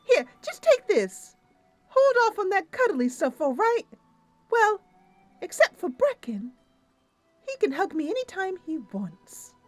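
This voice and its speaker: A tiny river fairy girl for a fantasy game, squeaky and very high. She is playful and petulant, exclaiming coyly in a lively, swooping lilt. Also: measured pace, long pauses, dry recording, soft background music, full character voice. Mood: playful scolding. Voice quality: squeaky, girlish. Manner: exclamatory, coy.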